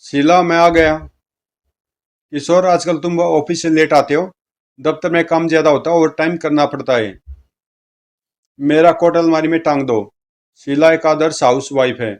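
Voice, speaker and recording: A man's voice: a little high, fairly even intonation, normal speed, pauses often. A man reading comic strips aloud as a story narrator, speaking the dialogue of each character. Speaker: comic book reader, narrator.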